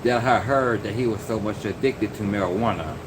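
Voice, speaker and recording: A man's voice: fairly low pitch, a little fast. A young man talking in a loose, rambling vlog monologue, full of fillers and restarts. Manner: meandering, many fillers and restarts. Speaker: vlogger. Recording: noisy background hum.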